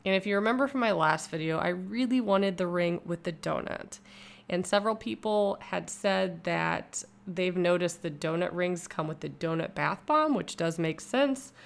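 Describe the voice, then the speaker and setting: A woman's voice: on the low side, normal speed, gravelly. A young woman vlogger doing a casual, upbeat voice-over review of a bath product from home. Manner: upbeat, chatty and enthusiastic. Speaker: YouTube vlogger.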